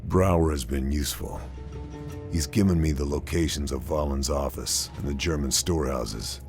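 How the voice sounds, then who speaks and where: A stoic American wartime sniper with an extremely deep voice. He delivers terse, measured mission briefings with a gruff deadpan, as in a military video game. Loud music plays behind him. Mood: grim, focused. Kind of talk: scripted narration and dialogue in a video game. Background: loud game soundtrack music.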